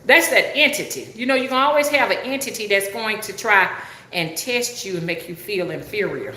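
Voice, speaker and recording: Bright-voiced Southern woman chatting. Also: medium pitch, lively intonation, normal speed, echoey room, low-quality recording. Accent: Southern US (Alabama). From Alabama, US. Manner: folksy, chatty, uses country dialect. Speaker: rootworker and spiritual reader. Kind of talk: casual live-stream chat.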